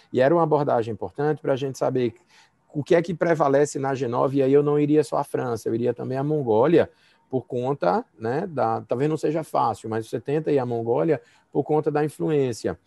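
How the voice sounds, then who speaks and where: A man's voice: medium pitch, vocal fry, warm tone, dry recording. A Brazilian man, a law professor, giving a conversational university lecture and feedback over a video call, with frequent fillers and restarts.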